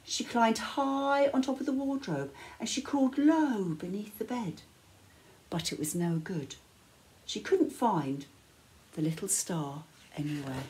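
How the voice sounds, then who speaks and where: An older woman reading a children's bedtime story aloud with measured, dramatic phrasing and pauses. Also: mid-range voice, expressive, darkish tone, slight background noise, poor audio. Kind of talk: expressive picture-book read-aloud. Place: small living room, indoors.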